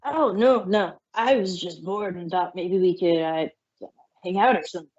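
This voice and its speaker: Easygoing young male dragon, played by a woman, mellow and hesitant, for a cartoon-style audio drama; slightly muffled recording. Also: fairly low pitch, moderate pace, natural voice. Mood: casual, hesitant.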